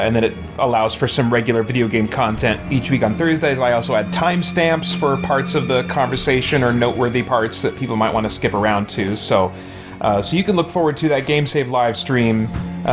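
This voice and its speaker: Casual male game streamer over music. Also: medium pitch. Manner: informal, hesitant, thinking aloud. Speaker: video game streamer, host. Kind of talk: casual live stream chat.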